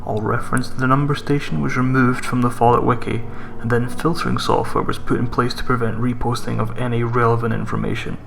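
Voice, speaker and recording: A man's voice: medium pitch, little pitch movement, brisk, gravelly, hardly any pauses, a bit bright, a little hiss or hum. A man reading a scripted horror story as a narrator, with music behind him. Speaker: creepypasta narrator.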